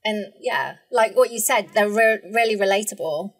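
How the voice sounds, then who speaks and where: A woman's voice: medium pitch, moderate pace. A woman speaking as a guest scientist in a casual, informal discussion.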